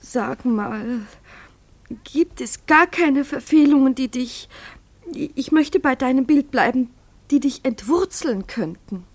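An actress playing a naive, anxious, soft-hearted schoolteacher's wife, earnest and pleading, in a radio play. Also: female voice, high-pitched, animated, slow, exaggerated voice. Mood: probing, worried. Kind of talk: German radio play acting.

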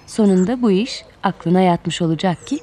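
A woman telling a children's fairy tale in expressive, scripted narration. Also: fairly low pitch, normal speed. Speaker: children's storyteller.

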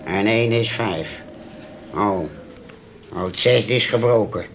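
A man's voice: on the low side, slow pace. A man playing a fumbling, hard-of-hearing older bookkeeper in a radio play, querulous and full of excuses. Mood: flustered. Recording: noisy old radio play recording.

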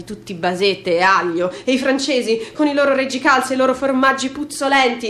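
A high-voiced, sneering creature of filth acted out by a woman in a radio play. It needles and mocks, piling up long scornful lists with vulgar, gleeful spite. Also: a bit bright, close to an everyday voice. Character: sneering, ranting, vulgar talking turd, gleefully bigoted. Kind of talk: theatrical literary reading for radio theatre.

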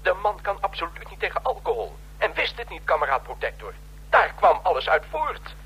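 An actor playing a servile, flustered ambassador in a radio drama, rough-voiced, stammering and defensive. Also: male voice, sits high, big pitch swings, fairly quick, bright, forceful tone, heavily acted, poor audio. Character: flustered, servile male ambassador under his leader's rebuke.

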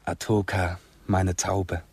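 An actor playing a carefree, devoted young Comanche chief's son in a radio drama, with a husky voice. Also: male voice, low-pitched, level tone, slow pace, darkish tone, no echo, full character voice. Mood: tender, devoted.

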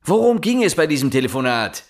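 An actor playing an intense, relentless police inspector in a German radio drama, pressing with insistent, halting questions. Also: male voice, a little high, very animated. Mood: controlled, probing. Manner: insistent, with halting repeated words and pointed questions. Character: male police investigator interrogating, intense, relentless, exasperated.

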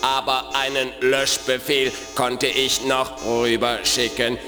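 Man acting a flat, deadpan virus henchman. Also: medium pitch, slow, music in the background, natural voice. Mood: glum deadpan. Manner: deadpan, repeats his phrases. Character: dour computer virus henchman who echoes himself. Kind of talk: children's radio play acting.

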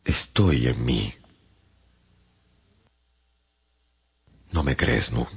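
A man playing a weary, haunted traveller estranged from humankind in a radio drama, speaking gravely and haltingly with a gravelly voice. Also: a bass voice, full character voice. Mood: withdrawn, wounded. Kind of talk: Spanish-language radio drama, first-person narration and dialogue. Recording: dull lo-fi radio production. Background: dramatic music.

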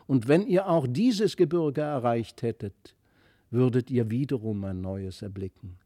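A man voicing a mysterious, gentle fairy child in an earnest, lyrical way for a storybook reading. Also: medium pitch, a little slow, dry recording, studio quality, lightly acted. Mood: wistful, earnest. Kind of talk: literary audiobook reading.

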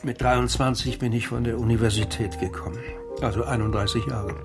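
A man's voice: mid-range voice, fairly even intonation, a little fast, over music, natural voice. An actor in a radio crime drama playing a bitter, lonely laid-off editor in his fifties, aggrieved, wry and articulate. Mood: wry, melancholy. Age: 50s. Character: bitter, lonely laid-off former editor-in-chief, man of fifty-four.

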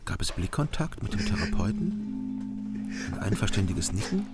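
An actor playing a weary, hard-drinking East German private detective who narrates and speaks in a dry, laconic way in a radio crime drama. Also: male voice, fairly low pitch, big pitch swings, normal speed, loud music behind the voice. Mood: sober, observant.

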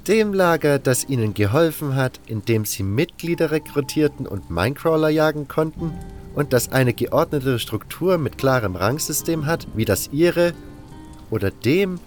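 A man's voice: fairly high pitch, expressive, normal speed, soft background music, close to an everyday voice. Man playing a cold, haughty baron. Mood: cool, calculating. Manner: condescending, scornful. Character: cold, haughty male baron giving orders. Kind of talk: fantasy audio drama acting.

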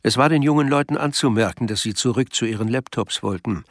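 A man's voice: mid-range voice, fast, no room sound, studio quality. A man narrating a literary radio drama from a script. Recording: loud music behind the voice.

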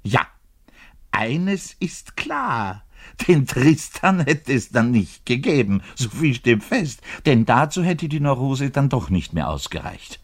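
An actor playing an embittered, self-pitying middle-aged double bassist in a rambling, theatrical radio monologue, pedantic and given to muttering and indignant declaiming, with a husky voice. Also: male voice, big pitch swings, slow pace, exaggerated voice. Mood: indignant, scornful. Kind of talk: theatrical radio play monologue, confiding to a listener.

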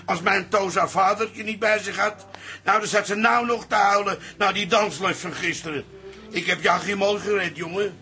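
A man's voice: sits high, fairly even intonation, moderate pace, sharp tone, soft background music. A man acting in a radio drama as a meddling older father-in-law with a folksy, wry, needling manner. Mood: needling, smug.

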